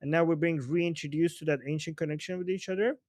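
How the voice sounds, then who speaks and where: A man's voice: on the high side, fairly even intonation, fast, a little mellow, no room sound, studio quality. Emphatic Iranian man hosting a political call. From Iran. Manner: emphatic, argumentative, rhetorical tag questions. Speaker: host. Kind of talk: live political discussion.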